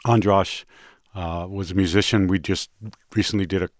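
Reflective older-sounding man, low voice. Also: steady tone, no room sound, clean studio sound. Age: middle-aged or older. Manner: reflective, halting phrasing. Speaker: music show host. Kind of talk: casual personal storytelling.

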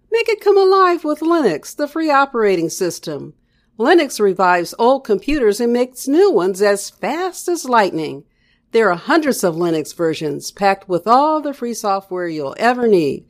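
A woman reading a scripted promotional announcement. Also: on the high side. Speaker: advertisement announcer.

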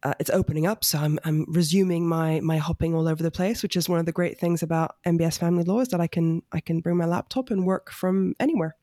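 A woman's voice: on the low side, steady tone, quick pace, hardly any pauses, mellow, no echo, studio quality. A woman in her thirties from France, chatting casually as an interview guest, with many fillers and easy laughter. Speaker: family lawyer, podcast guest.

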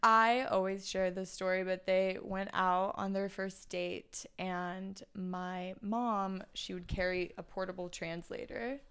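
Giggly young woman guest, smooth voice. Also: mid-range voice, steady tone, takes it slowly, few pauses, fairly bright tone. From China. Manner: chatty and giggly, with many ums. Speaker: podcast guest. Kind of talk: casual interview chat.

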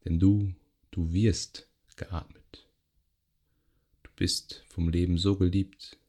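A man guiding a yoga nidra meditation in a gentle, unhurried way, pausing between short phrases. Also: sits low, little pitch movement, husky. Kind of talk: guided meditation, soothing relaxation instruction.

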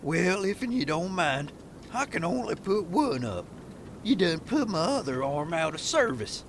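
A man's voice: sits high, big pitch swings, measured pace, a little hiss or hum. A man playing a good-natured, folksy cowhand with a Texan drawl in an old-time radio western. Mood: wry, placating. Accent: Southern US, Texan. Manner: drawling, folksy, talks to his horse.

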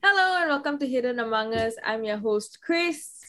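A woman's voice: fairly high pitch, animated, normal speed, fairly bright tone. A young woman from Singapore, hosting a podcast in casual, giggly chat full of fillers. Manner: chatty, laughs often, lots of "like" fillers. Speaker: podcast host.

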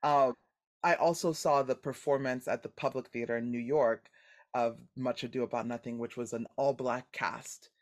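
Deep-voiced woman, animated and expressive. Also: normal speed. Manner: animated, expressive, laughs often. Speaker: guest actor. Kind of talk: casual podcast interview.

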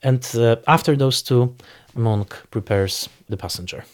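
A man's voice: medium pitch, unhurried. A man speaking as a film expert in an interview, searching for words and repeating for emphasis.

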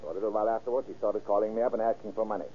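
An actor playing a cocky, stubborn, mean killer who confesses casually in folksy slang, in old radio crime drama style. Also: male voice, fairly low pitch, steady tone, very fast, close to an everyday voice. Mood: casual confessing. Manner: breezy, unrepentant, folksy slang. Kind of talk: 1930s-40s radio crime acting. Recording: tinny, noisy old radio recording.

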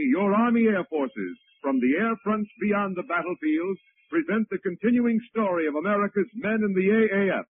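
A man's voice: high voice, husky, no room sound. A man announcing a scripted military radio programme in a formal, declamatory 1940s style. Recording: tinny 1940s radio wire recording.

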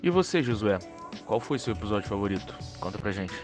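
A Brazilian-accented man chatting casually and haltingly as a podcast panelist. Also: lowish voice, very animated, music in the background. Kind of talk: casual chat.

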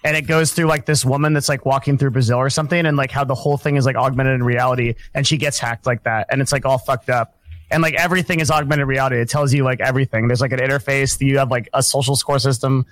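Male podcast guest, quick and dry-sounding, rambling chatter. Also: mid-range voice, steady tone, fairly bright tone, no echo. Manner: rambling, laughing, swears freely. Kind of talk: casual group chat.

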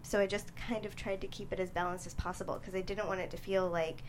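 A woman answering interview questions casually as a screenwriter, in a halting, thoughtful way. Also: medium pitch, little pitch movement, a little hiss or hum. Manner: hesitant, thoughtful, halting delivery. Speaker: screenwriter guest being interviewed.

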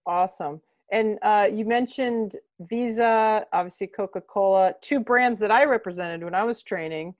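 A woman's voice: medium pitch, normal speed, no room sound, lo-fi sound. A woman hosting a podcast interview, chatty and loose, leaning on fillers as she frames her questions. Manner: chatty, many fillers and "obviously". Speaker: podcast host.

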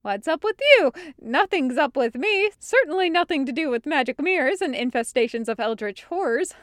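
Woman playing a panicky, high-pitched male adventurer. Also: expressive, normal speed, fairly bright tone, dry recording, clean studio sound. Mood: nervous panic. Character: panicky, exasperated adult male adventurer hero. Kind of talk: audiobook character acting.

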